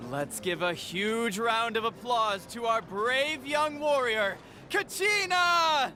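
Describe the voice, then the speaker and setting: A woman's voice: a little high, lively intonation, slow, sharp tone, heavily acted. A woman voicing a boisterous, scolding clan elder in a video game. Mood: hearty, stern. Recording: music behind the voice.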